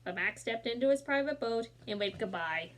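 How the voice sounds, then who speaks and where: Woman reading a kids' book out loud, gentle, chats with the little ones between pages, does the voices. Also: on the high side, normal speed. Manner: gentle, talks to young listeners; expressive, voices the characters. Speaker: storybook reader. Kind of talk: children's read-aloud with asides.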